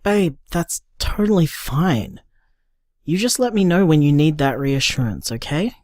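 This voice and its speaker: A woman's voice: deep voice, lively intonation, measured pace, a little mellow, no echo, clean studio sound. A woman playing a caring, stubborn, sweary partner in an audio drama, talking in an emphatic, coaxing, informal way. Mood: reassuring, teasing. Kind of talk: naturalistic audio drama monologue.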